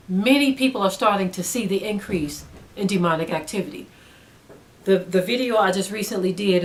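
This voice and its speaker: A woman's voice: medium pitch, fairly bright tone. A woman speaking as a religious teacher in a sermon style, earnest and emphatic, urging listeners with repeated phrases. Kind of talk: sermon-like spoken exhortation.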